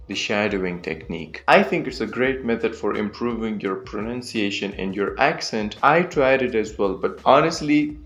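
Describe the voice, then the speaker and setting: A young man from Pakistan who speaks with an American accent, giving a steady, clearly paced scripted lesson on learning accents. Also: lowish voice, normal speed, hardly any pauses, muffled recording. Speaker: accent coach.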